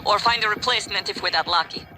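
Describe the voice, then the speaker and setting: An actress playing a brisk, irritable, practical woman engineer in a sci-fi game, with blunt, naturalistic delivery. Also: medium pitch, over music, lightly acted, rough recording. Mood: irritated.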